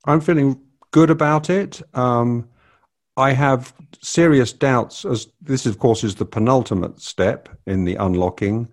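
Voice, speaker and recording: A man's voice: mid-range voice, unhurried. An older man hosting a casual family podcast, rambling and hesitant with many fillers. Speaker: podcast host.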